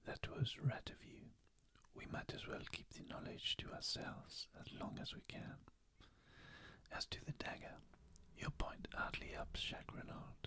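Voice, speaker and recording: Hushed, slow male detective, measured and guarded, as the reader whispers him. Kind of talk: ASMR audiobook reading.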